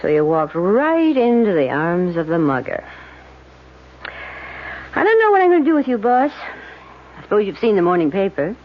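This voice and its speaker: A lively secretary acted by a woman in an old radio play, teasing but caring. Her pitch swings widely, and the recording is muffled with some background noise. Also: normal speed, pauses often, natural voice. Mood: concerned. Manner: teasing, expressive. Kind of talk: vintage radio drama acting.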